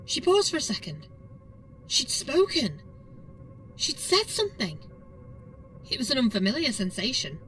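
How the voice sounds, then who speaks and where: A woman reads an audiobook story with dramatic delivery. Her voice is fairly high and bright, with very animated pitch movement. Music sits under the narration. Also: a little slow, long pauses, far from the mic, rough recording.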